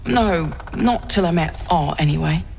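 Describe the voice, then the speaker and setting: Low-voiced subdued healer. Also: female voice, animated, unhurried, creaky, a little mellow, a little hiss or hum. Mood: concerned. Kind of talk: fantasy game dialogue.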